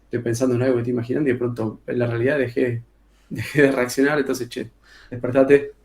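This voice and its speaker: A man's voice: medium pitch, flat delivery, fast talker, a little mellow. A man with a Rioplatense Spanish accent, an author talking casually in an interview, colloquial, with many filler sounds and restarts. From Argentina. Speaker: author guest.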